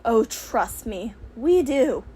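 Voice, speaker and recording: A woman's voice: fairly high pitch, big pitch swings, slow pace, mellow, husky, heavily acted. A woman voicing a brash young professional heroine in an audiobook, casual and sardonic with a caring streak. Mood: dry. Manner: casual, exasperated, emphatic. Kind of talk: audiobook character voice for fan fiction.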